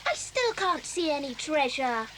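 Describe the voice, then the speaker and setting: Over faint tape noise comes a young girl with a very high, bright voice. The girl is timid and earnest, full of plaintive questions, in the style of an eighties children's cassette drama. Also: sing-song, measured pace, heavily acted. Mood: doubtful, curious. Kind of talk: 1980s children's audio drama acting.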